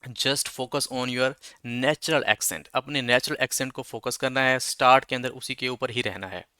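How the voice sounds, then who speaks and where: Man, casual English lesson, clear smooth voice, dry close sound. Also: mid-range voice, fairly even intonation, a little slow, sharp tone, no room sound. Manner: conversational, repeats filler checks. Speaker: English teacher. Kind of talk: explaining lesson, mixing Urdu and English.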